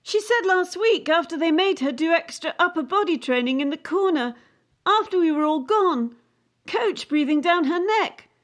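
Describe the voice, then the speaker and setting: A woman's voice: very high voice, lively intonation, normal speed. A woman playing a distressed, idealistic young gymnast in a radio play, with an anxious, aggrieved and brooding manner. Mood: anxious, weary.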